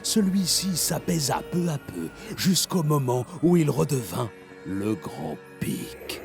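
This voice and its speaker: A man playing an old animal elder in a cartoon, telling children a solemn, theatrical tale in a gravelly voice. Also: mid-range voice, big pitch swings, exaggerated voice. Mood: solemn, suspenseful. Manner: solemn, theatrical, drawn-out suspense. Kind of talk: dramatic storytelling in a dubbed cartoon. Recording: music behind the voice.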